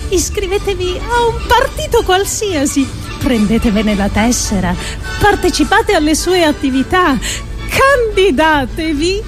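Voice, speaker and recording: An actress playing an earnest, grave woman thinker in a theatrical radio monologue, declaiming deliberately with weighty pauses. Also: extremely high pitch, sing-song, music in the background, exaggerated voice. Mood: urgent, exhorting.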